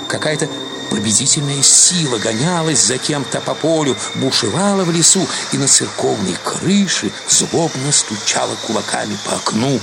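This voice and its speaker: A man's voice: medium pitch, gravelly, heavy background noise. A man giving a dramatic literary reading as the narrator of a story, with music behind him. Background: loud music.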